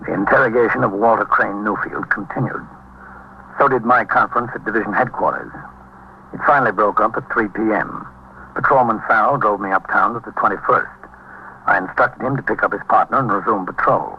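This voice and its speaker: A male actor playing an American police captain in a 1950s radio drama, speaking in a measured, factual, official manner. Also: on the low side, natural voice. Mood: matter-of-fact. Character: matter-of-fact male police precinct captain. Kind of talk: scripted first-person narration, 1950s radio acting. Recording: muffled 1950s radio recording, faint noise.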